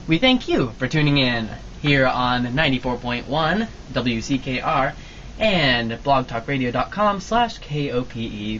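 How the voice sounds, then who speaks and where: A man's voice: medium pitch, fairly bright tone. A man announcing an informal sign-off for an amateur radio show. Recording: dull amateur recording with noise.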